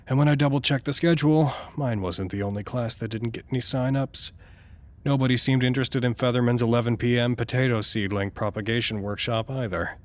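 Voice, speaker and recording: Audio drama male, weary good-natured scientist played by a man, rambling and self-conscious, lowish voice with lively, swooping pitch. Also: lightly acted. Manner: rambling, self-conscious, stammering when flustered. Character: weary, petty but good-natured male research doctor. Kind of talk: audio drama acting, log monologue.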